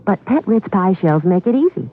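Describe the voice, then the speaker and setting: A woman's voice: mid-range voice, sing-song, moderate pace. A woman giving a chatty, homely scripted testimonial in a radio commercial. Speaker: commercial spokeswoman. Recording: dull lo-fi radio recording.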